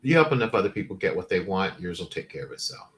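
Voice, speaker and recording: A man's voice: deep voice, fast talker. A man co-hosting a casual livestream chat, telling stories animatedly and acting out past conversations. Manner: animated storyteller who acts out past conversations. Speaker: podcast co-host.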